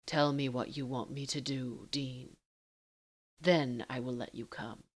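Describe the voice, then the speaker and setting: A calm, commanding male lover from an audiobook romance, voiced by a woman. The voice sits very low and soft, with slow, formal phrasing. He teases with quiet control and unhurried seduction. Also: slightly rough, exaggerated voice. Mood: low, commanding, teasing. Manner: formal, measured, softly teasing.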